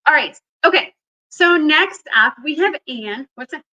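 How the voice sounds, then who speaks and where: Bubbly high-voiced woman hosting a livestream. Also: animated, unhurried, pushed and bright, lo-fi sound. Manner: bubbly, effusive. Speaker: host of a live quilting event. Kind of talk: livestream chat.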